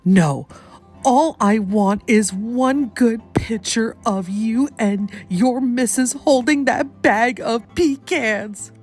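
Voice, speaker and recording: A woman puts on a gruff, stubborn landowner, a man who talks bluntly and makes demands. It is storybook-style dramatic reading, with music behind the voice. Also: on the high side, slow, a little mellow, pitched above the performer's own voice. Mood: grudging, firm.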